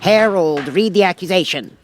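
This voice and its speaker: Imperious storybook king, played by a man, raised pitch, impatient, blustering, commanding. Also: expressive, moderate pace.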